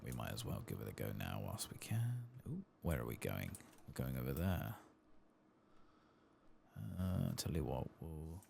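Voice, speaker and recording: A man giving soft-spoken ASMR commentary over a video game, often slipping into whispers. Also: extremely low pitch, creaky, slight background noise. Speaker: host of an ASMR game playthrough.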